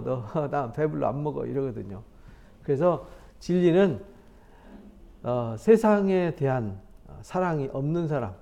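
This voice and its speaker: Lively conversational male pastor, mellow tone. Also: high voice, lo-fi sound. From South Korea. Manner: conversational, animated, with laughs and rhetorical questions. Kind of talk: Bible study sermon.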